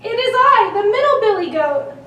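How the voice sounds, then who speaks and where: Little puppet goat, extremely high voice, timid, sing-song, pleading, echoey room. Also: female voice, very animated, normal speed, pushed and bright, exaggerated voice. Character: small young billy goat, timid and pleading. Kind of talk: children's puppet show acting. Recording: echoey room, distant mic, faint noise.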